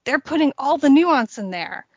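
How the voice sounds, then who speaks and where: A woman with a fairly high voice talks through a topic on a podcast, steady and explanatory. The sound is dry and close but dull and lo-fi. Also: moderate pace, dry recording. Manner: explanatory, steady, with small laughs. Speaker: podcast co-host. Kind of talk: casual chat.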